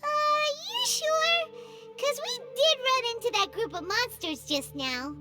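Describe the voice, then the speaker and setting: A woman voicing a tiny, childlike fairy companion in a video game, expressive, squeaky and easily spooked. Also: takes it slowly, full character voice. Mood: worried. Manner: speaks of herself in third person, expressive. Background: music.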